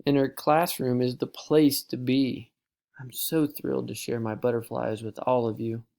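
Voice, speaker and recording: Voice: mid-range voice, flat delivery, normal speed, gravelly, a little mellow, lightly acted. A reader voicing a strict female schoolteacher in an audiobook, scolding in clipped phrases. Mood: cheerful. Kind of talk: audiobook character voice.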